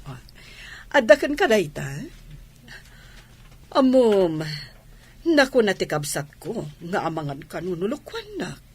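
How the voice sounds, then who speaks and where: Woman playing slow husky wife in ilocano radio drama, doting, trusting, ilocano filipino accent, swingy pitch. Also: very animated. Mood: affectionate, teasing. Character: trusting wife who owns a restaurant, doting on younger lover.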